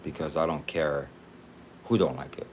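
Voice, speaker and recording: A man's voice: very deep voice, fairly even intonation, normal speed, a little hiss or hum. A man in his late twenties from Texas, chatting casually on a livestream in a rambling, repetitive, slangy way. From Texas, United States.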